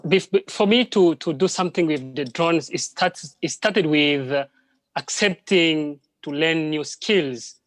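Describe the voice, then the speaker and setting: A man from DR Congo, a French speaker, speaking as a panel guest in halting English full of restarts. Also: on the high side, fairly bright tone, no room sound. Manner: halting, with frequent restarts and repeated words. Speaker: panel guest, drone project worker. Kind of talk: live panel conversation.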